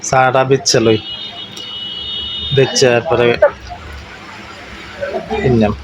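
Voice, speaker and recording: A man's voice: mid-range voice, level tone, slow pace. Man chatting over a very noisy call. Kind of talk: casual intimate phone chat. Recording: noisy video call recording, vehicle sounds.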